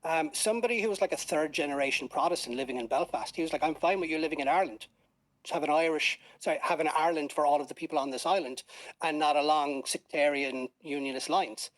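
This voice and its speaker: A man with a somewhat higher-pitched voice speaks in a casual political discussion. His delivery is earnest and conversational. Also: quick pace, poor audio.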